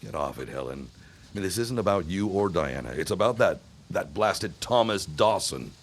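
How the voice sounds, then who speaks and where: Man acting a very deep, gravelly 1910s schemer. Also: expressive, normal speed. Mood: defensive, exasperated. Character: evasive, scheming philandering businessman of the 1910s. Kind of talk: audio drama acting.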